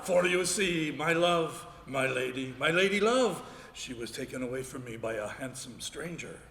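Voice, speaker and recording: An actor playing a rough, lovelorn woodsman in a comic live radio play, declaiming and a bit dim. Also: mid-range voice, animated, normal speed. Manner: declamatory, slightly dim, mangles his phrases. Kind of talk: live stage radio play, broad comedy. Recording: echoey ballroom stage, noisy hall.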